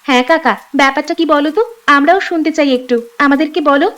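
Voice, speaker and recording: Cartoon village girl, played by a woman: high voice, polite, inquisitive. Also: fast, fairly bright tone. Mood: curious. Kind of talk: Bengali cartoon story voice acting.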